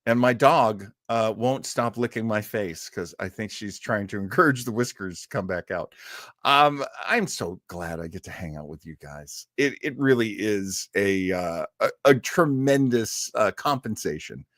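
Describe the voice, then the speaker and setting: Man, casual live show host, slow-paced, very varied intonation, jokey, chatty. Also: fairly low pitch, animated, fairly bright tone, dry recording, clean studio sound. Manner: chatty and jokey, often chuckling. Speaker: actor. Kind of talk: casual livestream chat to viewers.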